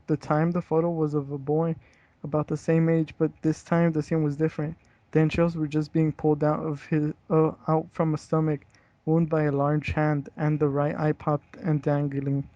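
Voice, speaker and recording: Young man, flat-toned, on muffled echoey audio. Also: fairly high pitch. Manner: stumbles over words while reading, casual slangy swearing when chatting. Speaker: reader of a scary internet story. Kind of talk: amateur horror story reading, casual chat with friends.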